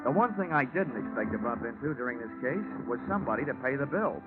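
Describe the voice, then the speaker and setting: A man's voice: medium pitch, lively intonation, quick pace, over loud music, close to an everyday voice. Wry American gumshoe played by a man for vintage radio detective serial; cocky, slangy, clipped wisecracks; narrow, tinny old broadcast sound. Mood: wry storytelling. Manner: wisecracking, dry, clipped delivery. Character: wry, hard-boiled freelance insurance investigator, cocky and slangy. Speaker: lead actor and first-person narrator. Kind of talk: 1940s radio detective drama narration and dialogue. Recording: tinny, band-limited 1949 radio recording.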